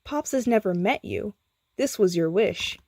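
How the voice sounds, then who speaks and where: Woman as a gentle, solemn guardian angel. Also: mid-range voice, animated, a little slow, no echo, studio quality. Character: gentle dead sweetheart, now a female guardian angel.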